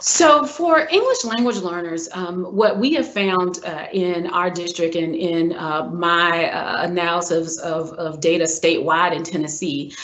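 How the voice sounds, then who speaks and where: A woman answering interview questions as a superintendent candidate, in a measured, earnest way, often pausing on "uh". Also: fairly low pitch, fairly bright tone. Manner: measured and earnest, many filler "uh"s. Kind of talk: formal job interview answers. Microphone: video call. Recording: dull lo-fi video call audio.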